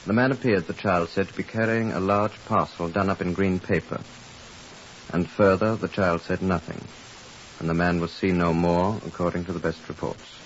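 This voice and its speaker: An actor playing a brisk, dry Scotland Yard superintendent in a 1950s radio drama, formal, measured and matter-of-fact. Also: male voice, low voice, steady tone, natural voice. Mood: grave, factual. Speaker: narrating detective. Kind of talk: 1950s radio drama acting, first-person case narration. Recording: hissy, muffled 1950s radio recording.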